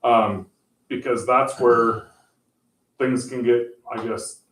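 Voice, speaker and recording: A man explaining tech options to a city council, halting and full of fillers and restarts. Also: mid-range voice, steady tone. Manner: says he has a loud voice. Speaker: tech presenter at council meeting. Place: council meeting room. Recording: echoey room, far from mic.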